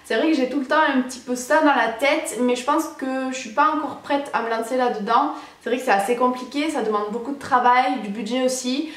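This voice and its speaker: A woman's voice: high voice, brisk, hardly any pauses. A young woman from France answering viewer questions in a casual vlog, chatty and full of filler sounds. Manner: chatty, many "euh" fillers. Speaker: vlogger. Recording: echoey room, voice a bit far from mic.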